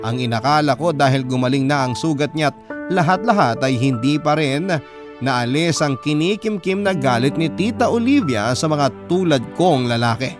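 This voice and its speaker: An actor playing an earnest boyfriend narrating his love story in a scripted radio drama, sincere, reflective and measured. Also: a little high, expressive, music in the background, natural voice.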